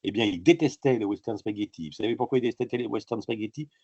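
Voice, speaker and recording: A man with a husky, fairly low voice, talking in a halting way and drifting from one tangent to the next, with plenty of filler sounds. The sound is dry and close, with a slightly dull, lo-fi quality. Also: a little mellow, no room sound. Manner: halting, digressive storytelling full of filler sounds. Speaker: author being interviewed. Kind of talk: casual interview talk.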